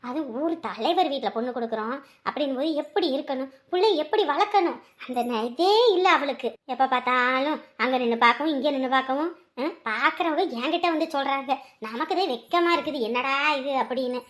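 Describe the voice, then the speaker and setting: A high-pitched, easily scandalised mother-in-law played by a woman in a family drama. She gossips and scolds in rustic turns of phrase with a rural Tamil Nadu accent, piling up indignant questions. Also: a little fast, fairly bright tone.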